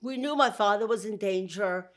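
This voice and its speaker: A woman's voice: medium pitch, moderate pace. Older woman with a Brooklyn accent. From Georgia. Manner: warm, with frequent laughs and restarts. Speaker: guest. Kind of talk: interview, memories.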